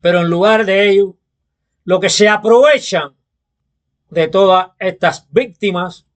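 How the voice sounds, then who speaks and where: A man from Cuba with a Cuban accent, delivering a political opinion monologue in a deliberate, emphatic manner. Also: sits high. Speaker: political commentator.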